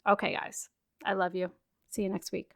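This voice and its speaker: A woman's voice: mid-range voice, flat delivery, normal speed, gravelly. A woman hosting a self-care podcast, talking casually with frequent fillers and an encouraging tone, her voice a bit worn after a virus. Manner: chatty, many "like" fillers, encouraging. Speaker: podcast host. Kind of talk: casual solo podcast talk.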